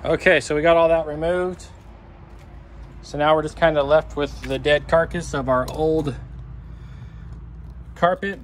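A man's voice: a little high, steady tone, unhurried, long pauses. A middle-aged man with an American accent giving a casual, plain-spoken, step-by-step DIY car repair tutorial. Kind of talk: casual hands-on tutorial narration. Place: outdoors on a patio and driveway, and at a car. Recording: clear background noise, outdoor ambience.

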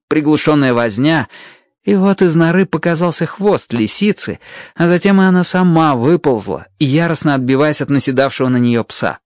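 A man narrating a dramatized story as an expressive storyteller. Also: medium pitch, no echo.

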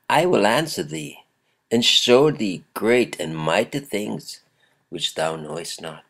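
A man with a croaky voice giving a daily devotional, chuckling often and reading scripture aloud with emphasis. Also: on the low side. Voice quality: gravelly. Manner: chuckles often between phrases. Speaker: devotional host.

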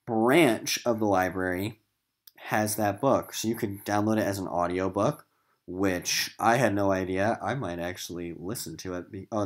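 Casual male teacher explaining things to students. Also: on the low side. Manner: casual, conversational, talking directly to students. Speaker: middle school literature teacher. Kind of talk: informal recorded lesson for students. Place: voice-over on a screen recording of slides.

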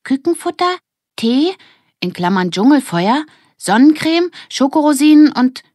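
A woman's voice: high-pitched, very animated, unhurried, a little mellow, no echo, clean studio sound, pitched above the performer's own voice. A woman voicing an eager young girl who announces things item by item in a children's audiobook. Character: eager young girl gang member reading out lists.

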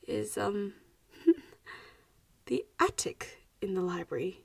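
A woman's voice: mid-range voice, a little mellow, dry recording, distant mic. A woman voicing an anxious, protective popular vampire schoolgirl in an audio roleplay, hesitant and reassuring as she speaks to the listener.

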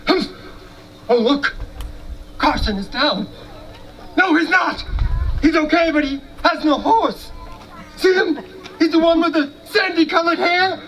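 A man's voice: extremely high pitch, a little slow, long pauses, fairly bright tone. A man playing a proud, folksy, scornful American soldier in a live historical battle dramatization. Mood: excited, boastful. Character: proud, folksy American soldier boasting about his army. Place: outdoor stone amphitheater, far camera. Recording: distant outdoor recording with noise.

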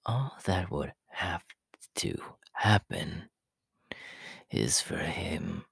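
A man voicing a sinister, power-hungry immortal body-stealer in an ASMR roleplay, sly and menacingly polite, with a raspy, half-whispered hiss. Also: sits low, lively intonation, fairly bright tone, no echo, clean studio sound, heavily acted. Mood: conspiratorial. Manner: slow, drawn-out, menacingly polite. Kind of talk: ASMR character roleplay monologue.

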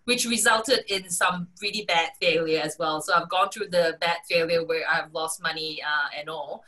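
A woman in her forties, raised in Singapore, telling her career story as a guest on a video call, animated and quick to laugh. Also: distant mic. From Malaysia, raised in Singapore. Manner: animated storyteller, laughs often, lively pitch swings. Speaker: guest, strategy consultant. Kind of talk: casual video-call interview.

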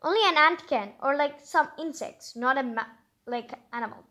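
A woman's voice: sits high, normal speed, pushed and bright. A woman hosting a storybook read-aloud, breaking in with chatty, exclaiming asides in an informal way. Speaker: host of a story reading channel.

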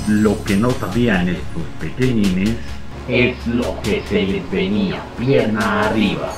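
A man's voice: lowish voice, slow pace, over music. A man playing a mock-ominous narrator of a comic horror radio play, dramatic and prone to correcting himself.

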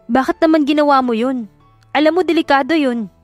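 A woman's voice: high voice, brisk, darkish tone, no echo, faint music behind, voice pushed up, natural voice. A Filipino woman voicing a protective young male hero, urgent and scolding. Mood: scolding, worried.

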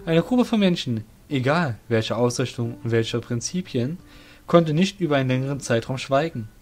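A man's voice: medium pitch, expressive, normal speed, a little mellow, soft background music. A man narrating a fantasy audio drama in a scripted storytelling style.

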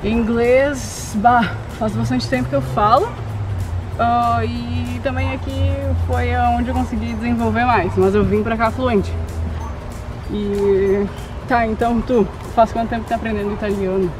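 A woman of about eighteen, chatting casually outdoors in a grassy field and pausing to think as she talks. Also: on the high side, music in the background, low-quality recording. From Brazil. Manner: relaxed, thinks aloud with pauses. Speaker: vlog co-host. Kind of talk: casual chat. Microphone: camera mic at arm's length.

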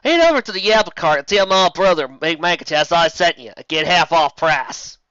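A man's voice: a little high, lively intonation, moderate pace, pushed and bright, clear, resonant tone, dry recording, highs cut off, pitched above the performer's own voice. A man voicing a cheerful, friendly farm mare in an amateur storybook reading.

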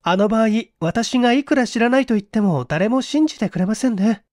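A woman plays a young lady from a vintage mystery drama. Her voice is high and feminine, with refined, composed manners and a pleading politeness. The recording is close and dry. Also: animated, brisk, darkish tone, no echo, natural voice. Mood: composed, polite.

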